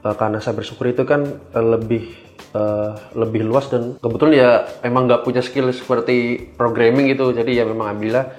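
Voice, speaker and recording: A young man with an Indonesian accent giving casual explanatory answers as an interview guest, informal and halting as he thinks aloud. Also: medium pitch, fairly even intonation, darkish tone. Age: young adult. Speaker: interview guest, government cybersecurity engineer.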